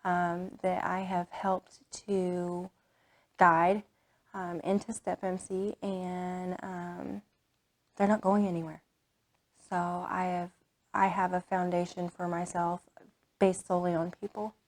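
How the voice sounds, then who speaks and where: A young woman from Kansas speaks openly about her service work in a casual interview. Her voice sits low and stays fairly flat. She fills her sentences with "um" and "like" as she talks. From Kansas, United States. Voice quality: many filler words and "like" restarts. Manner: earnest and candid. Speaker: AmeriCorps VISTA member.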